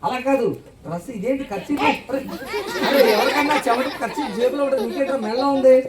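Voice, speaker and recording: Puppet show host talking fast, teasing questions to kids, far from mic in echoey hall w crowd. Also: sits high, very noisy. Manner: teasing, questioning, repeats phrases. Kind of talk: playful live banter with puppets and children. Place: church hall with children audience.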